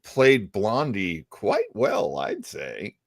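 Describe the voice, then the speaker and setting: Animated male radio host, very close and dry. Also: on the low side, extremely slow, creaky, dry recording. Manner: conversational, musing, explanatory. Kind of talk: casual chat about old radio.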